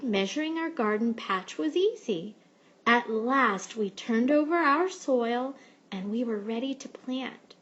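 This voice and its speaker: Slow, higher-voiced woman reading a storybook. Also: fairly bright tone, muffled recording. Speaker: teacher reading aloud. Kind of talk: storybook read-aloud narration.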